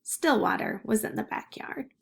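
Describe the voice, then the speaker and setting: Gravelly-edged woman reading a storybook. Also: mid-range voice, animated, normal speed, fairly bright tone. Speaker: storybook reader. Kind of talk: expressive picture book read-aloud.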